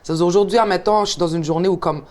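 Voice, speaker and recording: A woman's voice: on the low side, a little mellow. A young woman from Montreal with a Quebec French accent, chatting casually and upbeat as a musician. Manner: upbeat, quick, affirming. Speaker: musician guest. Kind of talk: casual conversation.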